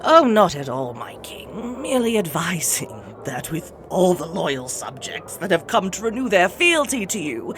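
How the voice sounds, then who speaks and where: A woman's voice: on the low side, noisy background. A woman playing a poised, jealous queen goddess in an audio drama, regal and formal with cutting politeness.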